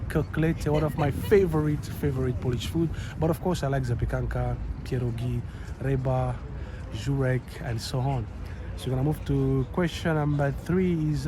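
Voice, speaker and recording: A young man from Rwanda hosting a casual Q and A vlog outdoors in a city, chatty and relaxed. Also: mid-range voice. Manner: relaxed, chatty, loose and upbeat. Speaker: YouTube channel host. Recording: outdoor city noise and traffic. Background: street and traffic noise.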